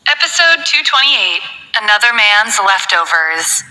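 A woman reading a novel aloud in steady scripted narration. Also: mid-range voice, unhurried, poor audio.

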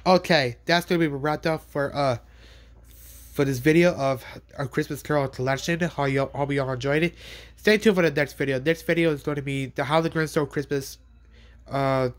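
Stuffy-voiced man filming on his phone at home; halting, repetitive, casual. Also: a little high. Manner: halting, repeats words and restarts often. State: has a cold. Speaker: collector showing DVDs. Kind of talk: casual unboxing vlog. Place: bedroom, indoors. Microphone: handheld phone pointed at bed.

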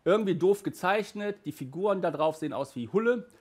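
A man in his thirties with a slightly raised voice, talking in a chatty, animated way as he reviews. He sits in a small room full of shelves and speaks into a headset mic. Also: high voice, a little fast, very noisy. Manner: chatty and animated, gestures with hands. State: hot and sweaty in a stuffy room. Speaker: audio drama reviewer. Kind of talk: casual video review.

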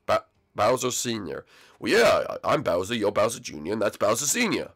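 A man voicing a gruff middle-aged dragon-turtle king who is a strict father, in a lowered, stern voice. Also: very animated, fairly quick, a bit bright, full character voice. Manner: stern, patient, corrects his son.

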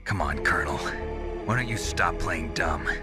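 A tough game hero, a cynical soldier with a very low, gruff voice. He talks in terse, sardonic challenges, with music underneath. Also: expressive, unhurried. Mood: accusing, sardonic. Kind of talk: video game voice acting.

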